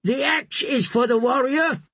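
A man voicing a zealous male follower who shouts an answer back. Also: very high voice, measured pace, breathy edge, no room sound, voice pushed up, exaggerated voice. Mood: shouting.

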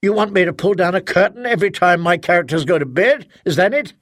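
A defensive novelist husband argues hotly, exasperated and sharp. A man voices him in an audiobook, pitched a little above his own voice. The recording is dry and close. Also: animated, fast, dry recording. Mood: angry. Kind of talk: audiobook character voice.

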